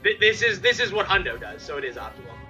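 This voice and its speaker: A man's voice: sits high, very animated, fast talker, over music. A man doing casual speedrun commentary with dry, teasing quips, talking into a desk mic. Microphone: desk mic, a bit farther than forearm's length.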